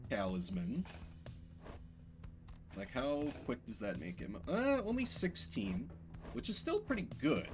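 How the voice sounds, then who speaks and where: A man streaming a video game, giving casual commentary as he thinks aloud. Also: medium pitch. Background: game music.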